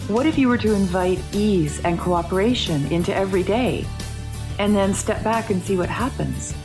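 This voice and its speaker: A woman's voice: mid-range voice, normal speed, over music. A woman radio host reading a scripted promo for a show.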